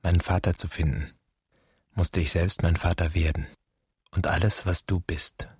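Very low, husky, solemn son. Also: male voice, steady tone, moderate pace, a little mellow, dry recording, clean studio sound. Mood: wounded, solemn. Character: wounded, searching son addressing an absent father. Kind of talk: literary radio drama monologue.

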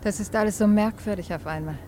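An actress playing a tender, anxious young bride in a serious radio drama, speaking softly and haltingly. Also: female voice, mid-range voice, slight background noise, natural voice. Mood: dazed, wistful. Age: young adult.

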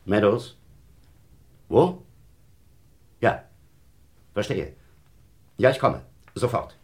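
An actor playing a brisk, sceptical district attorney, patronising and dismissive, in a German radio crime drama. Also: male voice, on the low side, expressive, noisy background. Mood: brisk, urgent.

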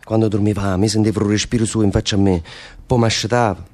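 A man's voice: lowish voice, steady tone, fast talker, a little mellow, lightly acted. A man playing a lovesick, restless prisoner in a radio play, telling rambling, impassioned stories in Neapolitan dialect. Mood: brooding longing. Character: lovesick, restless male prisoner, volatile storyteller. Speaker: stage actor. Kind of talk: radio theatre dialogue in dialect.

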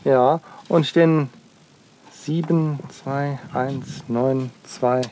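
A man's voice: mid-range voice, fairly even intonation, drawn-out words, dark tone. A man talking casually and rambling about his art and plans, often tagging his sentences. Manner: rambling, repeats "wohl". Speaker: artist. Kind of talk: casual documentary talk. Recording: roomy, distant mic, noisy background.

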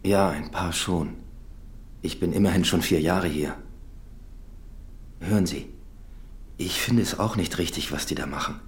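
An actor playing a sympathetic prison doctor, calm and kind, in a radio play. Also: male voice, deep voice, a little slow, vocal fry, long pauses. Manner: calm, kind, frustrated at authorities. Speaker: doctor Cassini.

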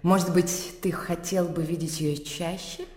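Actress as an ironic widow in echoing reverb. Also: female voice, lowish voice, fairly even intonation, unhurried, darkish tone, natural voice. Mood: teasing, affectionate. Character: worldly, ironic young widow, freed and openly egoistic. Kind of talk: Russian classic radio drama acting. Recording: very echoey hall-like reverb.

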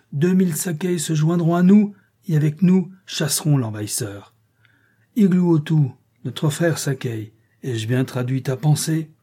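A man's voice: medium pitch, dark tone, husky, pitched above the performer's own voice. A man voicing a commanding chieftain giving an imperious speech to a crowd, in a storybook reading. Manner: imperious oratory.

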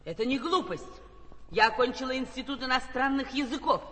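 A man's voice: extremely high pitch, fairly quick, sharp tone. An actor playing a pedantic female manager who lectures on pronunciation with over-precise diction. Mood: lecturing. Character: pedantic female manager, language-institute graduate. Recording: stage recording in a reverberant hall.

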